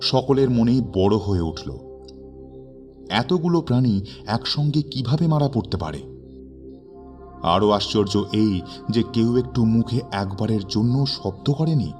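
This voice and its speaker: A man narrating a horror story in a dramatic, suspenseful way with measured, theatrical phrasing. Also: lowish voice, a little mellow. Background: background music.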